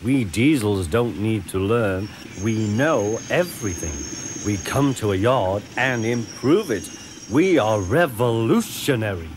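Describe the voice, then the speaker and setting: A male narrator plays a smug storybook diesel engine with an oily, purring voice. He is slow, smooth and condescending, sliding through each phrase with scheming politeness. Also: on the low side, expressive, noisy background. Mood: smug boasting.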